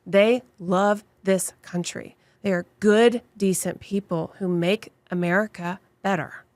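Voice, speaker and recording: A woman's voice: medium pitch, fairly even intonation, measured pace, a little mellow, dry recording. A woman immigration attorney being interviewed, speaking with passion and emphasis and stacking points into long lists. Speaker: immigration attorney and law firm owner. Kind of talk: interview guest answering questions.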